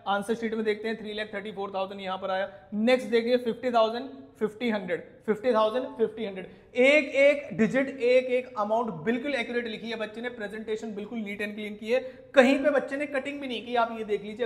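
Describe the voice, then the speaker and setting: A man's voice: high voice, quick pace. An Indian man who teaches, ranting indignantly to viewers in an emphatic, scolding way with rhetorical questions. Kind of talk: indignant video rant to viewers. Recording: echoey room.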